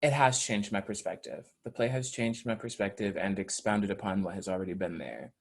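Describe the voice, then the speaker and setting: A man actor talking reflectively in an interview, earnest and measured, choosing his words with care. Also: on the low side, vocal fry. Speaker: stage actor in an audio play.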